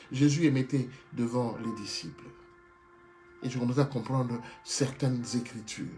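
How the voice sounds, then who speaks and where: A man's voice: on the high side, a little mellow. A man preaching a sermon with passion, often stopping to restart and repeat phrases and calling his listeners beloved. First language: not Hebrew. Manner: keeps addressing listeners as beloved.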